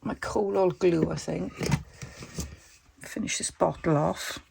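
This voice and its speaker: Lady doing a craft tutorial, bit croaky, lowish voice, chatty thinking out loud. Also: fairly even intonation, slow pace, noisy background. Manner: chatty, thinks aloud while working. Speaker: crafter demonstrating junk journal covers.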